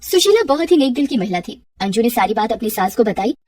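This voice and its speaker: A woman's voice: fairly high pitch, very animated, fast, a little mellow. Female children's story narrator, dramatic delivery, echoey room, slightly far from the mic. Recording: roomy echo, distant from mic.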